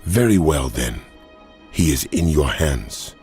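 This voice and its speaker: An actor playing God as a solemn, commanding deity in a dramatized audio Bible, grave and authoritative. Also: male voice, a bass voice, very animated, takes it slowly, darkish tone, husky, soft background music, full character voice. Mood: solemn authority.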